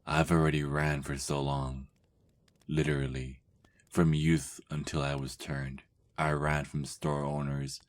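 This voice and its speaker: A man playing a weary, brooding centuries-old vampire who reads his diary in a measured dramatic monologue for an ASMR roleplay. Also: very deep voice.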